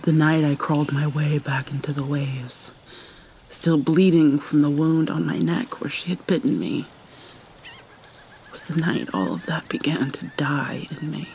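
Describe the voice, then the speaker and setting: Casting: woman to play haunted vampire mermaid princess for ASMR drama; slow, theatrical, breathy near-whisper, light rainy ambience behind. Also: low voice, a little mellow, slight background noise, poor audio. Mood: grieving, bitter. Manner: halting, theatrical, mournful. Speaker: roleplay voice actor. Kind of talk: dramatic ASMR roleplay monologue.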